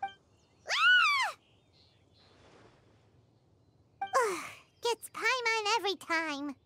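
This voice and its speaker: A woman voicing a small, childlike fairy companion in a video game, chirpy and excitable. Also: extremely high pitch, extremely slow, a little hiss or hum, exaggerated voice. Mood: startled. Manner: chirpy, exclaiming, refers to self in third person.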